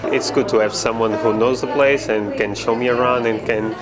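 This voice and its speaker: A man's voice: mid-range voice, steady tone, normal speed, over loud music. A man from Portugal, an international student, speaking earnestly about the campus. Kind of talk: casual testimonial.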